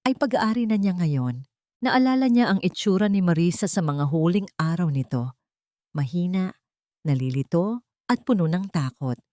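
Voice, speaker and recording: A woman narrating a scripted audio story with a dramatic, expressive reading. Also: low-pitched, no echo, clean studio sound.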